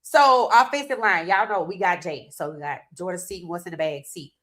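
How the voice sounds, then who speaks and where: A woman's voice: lowish voice, fairly quick, fairly bright tone. A woman hosting a casual sports podcast, a little congested from a cold, chatting loosely and talking straight to her viewers. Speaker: podcast host.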